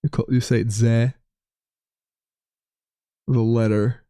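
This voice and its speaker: A young man with a light Latvian accent talks casually into a close mic, reading viewers' messages aloud and often restarting his sentences. His pitch sits in an ordinary middle range. The sound is dry, with no room echo. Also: flat delivery, slow, mellow, clear, resonant tone. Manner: chatty, reads chat aloud, frequent restarts. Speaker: streamer. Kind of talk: casual live-stream chat with viewers.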